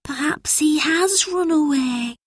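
A woman's voice: high-pitched, a little slow, no echo, clean studio sound, pitched above the performer's own voice. A woman voicing an earnest, worried young schoolboy in a children's story, with a pleading manner. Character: anxious, earnest young schoolboy searching for brother.

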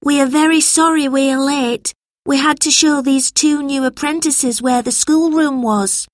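A woman acts out a well-mannered schoolboy in a children's tale. He sounds earnest and a little anxious, with a raised pitch and a close, dry sound. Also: steady tone, unhurried, no room sound. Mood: apologetic. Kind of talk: children's storybook character voice.

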